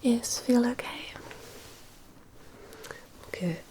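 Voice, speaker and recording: A woman whispers softly and breathily in an ASMR medical exam roleplay, giving gentle, reassuring instructions as a doctor. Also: on the high side, extremely slow, a little hiss or hum. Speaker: ASMR performer role-playing a doctor giving a hearing test.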